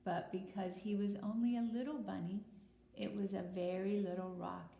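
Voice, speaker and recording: A woman teacher reading and talking to young children in simple, deliberate phrases, putting questions to her listeners. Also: mid-range voice, fairly even intonation, creaky, mellow, highs cut off. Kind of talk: picture-book read-aloud and talk to young children. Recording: roomy echo with noticeable hiss.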